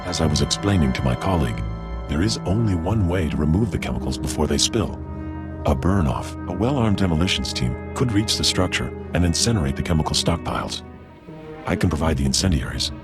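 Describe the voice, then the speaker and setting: An actor playing a humble alien scientist in a video game, speaking formally and precisely with measured apology. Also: male voice, rumbling low, lively intonation, music in the background. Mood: grave, deferential. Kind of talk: video game dialogue acting.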